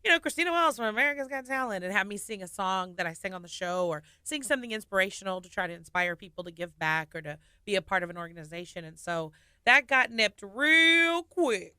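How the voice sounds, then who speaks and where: A woman in her thirties, a singer and nurse, telling her story with lively laughs in a casual radio studio interview. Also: mid-range voice, fairly quick, clean tone, dry recording.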